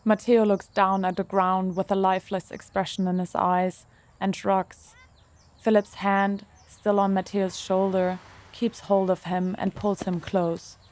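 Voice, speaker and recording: A woman narrating an audio drama with gentle, measured storytelling. Her voice sits at a medium pitch and is steady throughout. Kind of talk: scripted narration.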